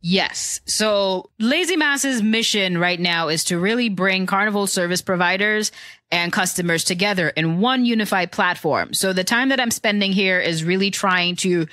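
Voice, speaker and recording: A woman's voice: mid-range voice, moderate pace, sharp tone, no echo, clean studio sound. A woman in her thirties with a hybrid Trinidadian-American accent, a guest answering podcast interview questions in composed, precise phrasing. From born in Houston, raised in Trinidad. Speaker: guest, tech founder.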